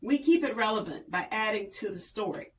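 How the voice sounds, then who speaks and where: A woman's voice: mid-range voice, lively intonation, a little fast, distant mic, a little hiss or hum. A woman from Virginia, a tourism official, speaking in a polished, promotional way as a guest on a radio interview.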